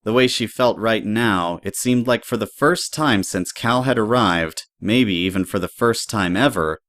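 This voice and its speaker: A man's voice: fairly low pitch, fairly bright tone, no room sound, clean studio sound. A man reading scripted story narration as the narrator of an audio drama.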